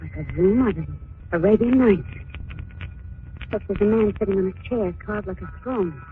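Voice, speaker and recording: A woman's voice: medium pitch, normal speed, lightly acted. An actress in a 1940s radio drama playing a frightened but plucky young woman, with a breathless, dramatic delivery. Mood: hushed dread. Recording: dull, noisy 1940s radio recording.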